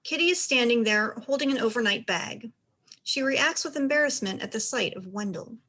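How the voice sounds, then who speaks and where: A woman narrates a play script, reading the stage directions. Her voice sits fairly high and she delivers scripted scene descriptions. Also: expressive, normal speed, sharp tone.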